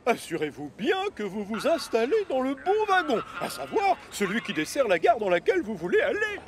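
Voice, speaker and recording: A man's voice: very high-pitched, huge pitch swings, fairly quick, a crowd in the background, exaggerated voice. A man voicing a pompous, stern but fair railway controller in a French children's cartoon, speaking formally. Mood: announcing.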